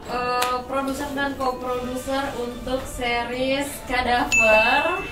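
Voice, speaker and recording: A woman's voice: high voice, flat delivery, slow. A woman hosting a casual interview, asking questions in an informal, colloquial way. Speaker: podcast host interviewing guests. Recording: distant, echoey room, music behind.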